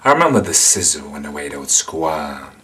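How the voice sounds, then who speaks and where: An actor playing an insane, menacing killer and resentful loner, confessing in a volatile dramatic monologue. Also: male voice, very deep voice, big pitch swings, a little fast, full character voice. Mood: calm, menacing reminiscence. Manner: confessional, volatile, swinging from hushed to explosive.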